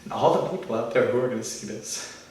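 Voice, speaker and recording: A man's voice: mid-range voice, a little mellow, a little hiss or hum, natural voice. Echoey, distant man acting an embattled, defiant church leader. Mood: bitter, controlled. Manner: volatile, confrontational, repeats phrases with long pauses. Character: embattled church leader, bitter, defiant, wounded, self-righteous. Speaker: amateur actor performing a monologue. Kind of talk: dramatic film monologue performance. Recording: echoey room, far from the mic.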